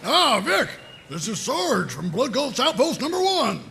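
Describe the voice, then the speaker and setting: Bossy old army sarge, super creaky and sharp, swings all over, comedy read. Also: male voice, very high-pitched, huge pitch swings, a little slow, a little hiss or hum, exaggerated voice. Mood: brisk, formal. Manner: blustering, emphatic. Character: gruff, bossy, callous old military sergeant. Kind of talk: comedic scripted table read.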